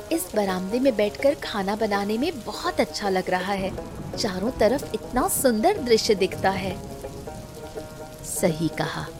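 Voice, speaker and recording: A woman voicing cheerful, dutiful village women in a cartoon family story. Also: mid-range voice. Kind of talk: Hindi cartoon story voice acting.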